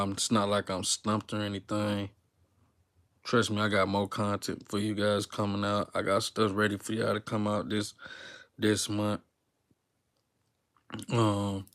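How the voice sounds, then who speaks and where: Young man, YouTuber, casual rambling delivery, flat and fairly low. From Texas. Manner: rambling, relaxed slang-filled talk with many fillers and restarts. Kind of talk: unscripted casual talk to viewers, live stream.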